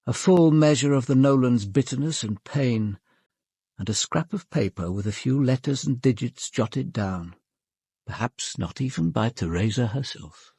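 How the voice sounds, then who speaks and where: A senior British police detective narrating a radio drama. His voice has a dry, gravelly rasp and moves expressively through measured, formal phrases. He is reflective and probing. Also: medium pitch, expressive, mellow, dry recording. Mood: brooding.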